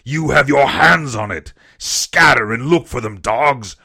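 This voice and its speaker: A man voicing a furious, domineering blind pirate leader in an audiobook, bellowing out drawn-out commands. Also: medium pitch, gravelly, pushed and bright. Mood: furious, desperate. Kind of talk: audiobook character voice.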